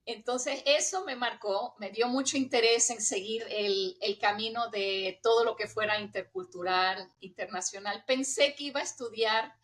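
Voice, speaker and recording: A woman's voice: a little high, moderate pace, few pauses, fairly bright tone. A middle-aged woman from the United States with a hybrid Spanish accent often taken for Argentine, talking in an interview, chatty and laughing easily. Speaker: guest, intercultural trainer. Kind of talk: conversational interview.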